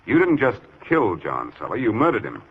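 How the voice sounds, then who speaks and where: An actor playing a confident, wry amateur detective with an American accent and sardonic delivery in 1940s radio mystery style. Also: male voice, lowish voice, huge pitch swings, moderate pace, husky, heavily acted. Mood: coolly accusing. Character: confident wry amateur detective, unmasks killers with cool sarcasm. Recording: muffled, dull 1940s radio recording.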